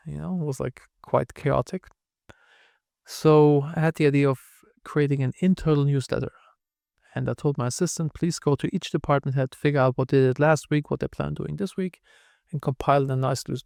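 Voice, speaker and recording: A man's voice: fairly high pitch, creaky, a little mellow, no room sound, studio quality. A man with a German accent, a podcast guest telling candid, self-deprecating stories. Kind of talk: casual interview talk.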